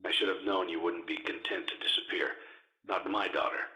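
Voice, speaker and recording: A deep-voiced, grieving older father delivers a solemn game monologue. His measured, ominous words come out crisp and forceful, with strong echo around them. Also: fairly even intonation. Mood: grieving, tender. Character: grave, sorrowful older father, condemning monsters, grieving his daughter.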